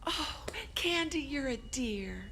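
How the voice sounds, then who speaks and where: Actress as flustered woman store manager, radio play. High, lively swoops, imploring and gushing. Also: takes it slowly, gravelly, slight background noise, exaggerated voice. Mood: relieved, teasing. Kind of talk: old-time radio drama acting.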